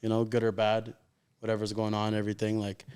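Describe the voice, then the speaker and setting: Fast-talking young man, clear voice. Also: lowish voice, completely flat delivery, darkish tone. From raised in Calgary, Canada. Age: 20s. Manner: informal, slangy, heartfelt, heavy use of "like". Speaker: professional footballer, interview guest. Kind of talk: casual interview chat.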